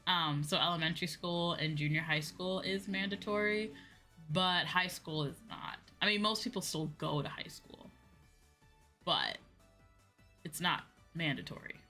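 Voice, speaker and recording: Lady vtuber just chatting with her viewers, bright slightly low voice, animated, repeats herself to make a point. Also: a little slow. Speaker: VTuber streamer; high school teacher. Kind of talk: casual livestream chat, replying to viewers. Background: background music.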